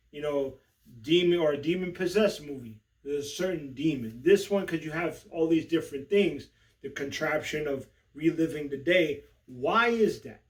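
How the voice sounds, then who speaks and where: Higher-voiced man giving a casual review. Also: far from the mic, low-quality recording. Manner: conversational slangy, often checking in with listener. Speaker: movie review host. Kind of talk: casual unscripted review commentary.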